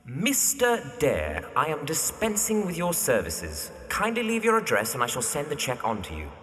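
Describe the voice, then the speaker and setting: An actor playing a stiff, easily offended young gentleman in a period audio drama, formal and prickly. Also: fairly high pitch, huge pitch swings, normal speed, in a large hall, soft background music. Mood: indignant. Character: stiff, indignant young gentleman architect Somerset.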